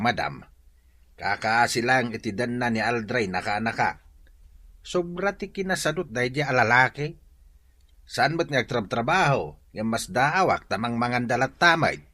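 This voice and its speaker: A man's voice: on the low side, no echo. A man playing a gossiping, complaining village neighbour in a comedy drama, with an Ilocano Filipino accent and exclamatory delivery. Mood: complaining, gossipy. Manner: animated, exclamatory, drawn-out interjections.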